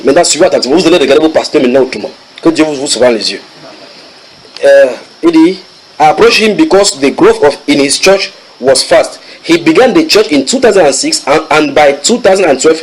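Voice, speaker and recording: Man, preacher, somewhat high voice, lively sermon, reads English and translates, banters with the congregation. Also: rough recording. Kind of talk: sermon teaching.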